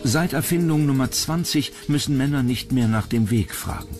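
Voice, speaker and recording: A man's voice: mid-range voice, moderate pace, music in the background. A man reading scripted narration for a countdown documentary.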